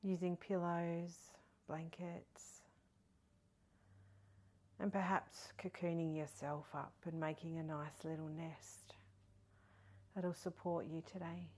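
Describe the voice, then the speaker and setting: A woman leading a guided meditation in a soft, breathy, partly whispery voice, soothing and gentle with long pauses. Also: lowish voice, fairly even intonation. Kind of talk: guided body scan meditation.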